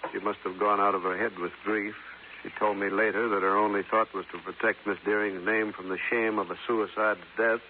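An actor playing a guarded, courteous but firm American sheriff in 1950s radio drama, speaking formally and deliberately. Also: male voice, fairly low pitch, level tone, close to an everyday voice. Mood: insistent explaining. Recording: noisy, narrow-band 1950s radio recording.

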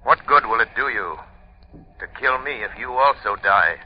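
An actor playing a stern, unyielding invisible crime-fighter in an old-time radio drama, with a terse, commanding delivery. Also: male voice, medium pitch, lively intonation, moderate pace, lightly acted. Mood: grim, strained. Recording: muffled old radio recording.

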